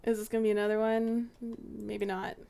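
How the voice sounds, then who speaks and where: A young woman excitedly calling the play while chatting with friends over a game. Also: mid-range voice, fairly quick, vocal fry. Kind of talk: casual gaming chat.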